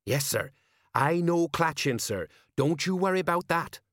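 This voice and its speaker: A man acts out a bumbling, earnest police sergeant from a storybook. He drops his letters and talks in a chummy, ingratiating way, with the pitch pushed up and a dry, close sound. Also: animated, measured pace, a bit bright, no echo. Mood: eager. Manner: colloquial, dropped letters, ingratiating.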